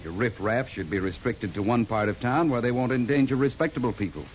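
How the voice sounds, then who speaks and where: An actor playing a stiff, self-righteous bureaucrat in a 1950s western radio drama, formal and lecturing in manner. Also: male voice, medium pitch, brisk, close to an everyday voice. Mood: critical, prim. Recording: muffled, noisy 1950s radio recording.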